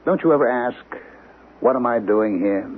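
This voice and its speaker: A man's voice: medium pitch, moderate pace, natural voice. A man playing a weary forty-something writer on old radio. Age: 40s. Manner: wry, musing, drifting into gloom. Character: weary 42-year-old male writer, brooding. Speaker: narrator and lead. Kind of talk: 1970s radio drama acting. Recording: tinny lo-fi old radio broadcast with faint hiss.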